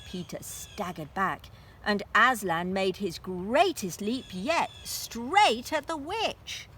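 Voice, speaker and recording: A woman narrating a children's story aloud in an expressive, dramatic style with deliberate phrasing. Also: medium pitch, husky.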